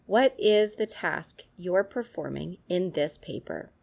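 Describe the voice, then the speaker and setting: A woman's voice: lowish voice, expressive, slow pace, vocal fry, a little mellow. A woman presenting scripted instructional tips, addressing the viewer in an instructive manner.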